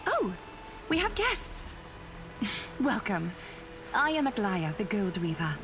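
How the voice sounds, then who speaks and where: A woman's voice: medium pitch, wildly swooping pitch, takes it slowly, over music, heavily acted. An actress playing a graceful, spirited noblewoman weaver in a video game, poised and formal. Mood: bright, welcoming.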